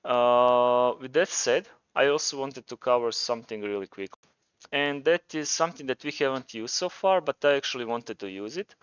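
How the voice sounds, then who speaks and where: A man's voice: medium pitch, normal speed, clean tone, lo-fi sound. A man with a Croatian accent presenting a programming tutorial, talking informally and thinking aloud as he demonstrates. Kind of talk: casual screencast tutorial narration.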